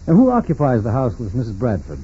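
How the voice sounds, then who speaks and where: An actor playing a calm, probing FBI agent in a 1940s radio drama, questioning in a businesslike, matter-of-fact way. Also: male voice, medium pitch, fast. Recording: muffled, noisy 1940s radio recording.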